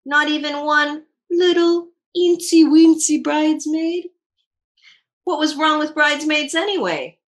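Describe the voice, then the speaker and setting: A woman voicing an eager, excitable little girl from a storybook, exclaiming and pleading. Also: sits high, a little slow, voice pushed up. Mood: excited, pleading.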